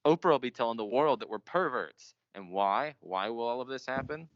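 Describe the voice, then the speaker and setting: A young man playing a grandiose, obsessive radio announcer, theatrical and boastful in a high school radio play. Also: animated, a little fast, close to an everyday voice. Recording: dull lo-fi recording.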